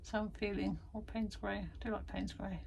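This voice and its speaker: A woman's voice: mid-range voice, steady tone, normal speed, vocal fry, darkish tone, slight background noise. A woman vlogging from her garden shed studio, chatty and self-deprecating, tired after busy weeks. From United Kingdom. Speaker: vlogger and art workshop tutor. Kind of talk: casual chatty vlog.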